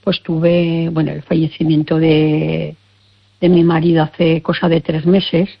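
A woman's voice: sits low, flat delivery, measured pace, creaky, slightly rough, lo-fi sound. An older woman with a persistent cough, hesitantly explaining her symptoms and often searching for words. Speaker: caller seeking health advice. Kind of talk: casual consultation.

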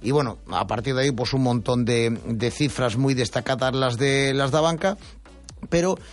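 A man's voice: medium pitch, normal speed, faint music behind. A man hosting a radio show, chatting and reading news with many fillers and restarts. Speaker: radio host. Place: radio studio.

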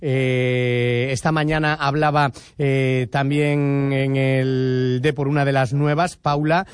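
A man presenting a radio sports news bulletin, informative and brisk, with fillers here and there. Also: medium pitch, level tone, smooth, no room sound, clean studio sound.

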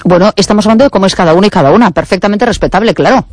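A woman hosting a radio program, asking probing questions and filling pauses with short acknowledgments. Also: medium pitch, darkish tone, dry recording. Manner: probing, many ujú backchannels. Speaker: radio host. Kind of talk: radio interview.

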